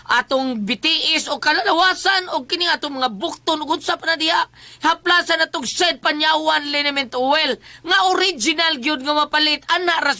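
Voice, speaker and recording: A woman with a Visayan Filipino accent hosting a radio programme, chatting in a lively way. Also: sits high, no echo. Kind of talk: lively greetings chat and live sponsor plugs.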